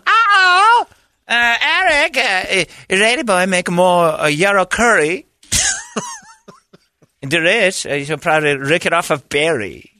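A man putting on a halting broken-English accent caricature, squealing and stammering. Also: high voice, expressive, bright, forceful tone, dry recording, pitched above the performer's own voice, heavily acted. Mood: excited.

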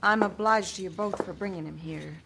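A woman's voice: fairly low pitch, brisk, vocal fry, pushed and bright, a little hiss or hum. A woman playing a firm, plainspoken widowed rancher's daughter in a radio western, forthright and no-nonsense. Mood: bitter, resolute. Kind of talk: old-time radio western acting.

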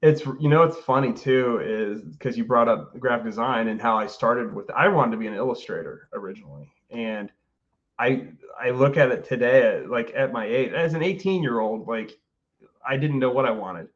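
A forty-year-old man talks loosely and at length, full of ums and restarts. His voice has an ordinary middle pitch. The call audio sounds dull and lo-fi. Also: normal speed. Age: 40s. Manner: relaxed, rambling with many fillers and restarts. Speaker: guest artist. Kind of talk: casual interview chat.

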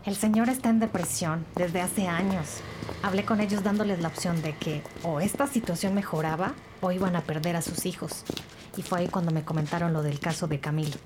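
A woman's voice: fairly low pitch, steady tone, fairly quick, noisy background, lightly acted. A woman playing a helpful, frank social worker in an audio crime drama, earnest and explanatory.

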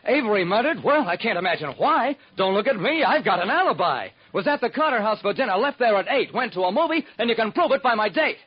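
A young male lyricist, put on by a radio actor speaks in singsong rhyme. The voice is pitched high and pushed loud, on a muffled vintage radio recording. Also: big pitch swings, fast talker, few pauses, husky, exaggerated voice. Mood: defensive, singsong. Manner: singsong rhyming delivery. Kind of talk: old-time radio mimicry.

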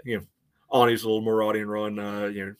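An American man with a fairly low voice talks casually as a podcast co-host. His analysis comes out measured and halting, with a dry sense of humour. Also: completely flat delivery, smooth. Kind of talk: casual sports analysis chat.

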